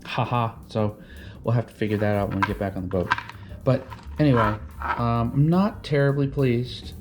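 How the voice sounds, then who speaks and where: A man's voice: mid-range voice, normal speed, over loud music. Man casually talking through a DIY project. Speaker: DIY boat vlog host. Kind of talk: casual unscripted how-to chat.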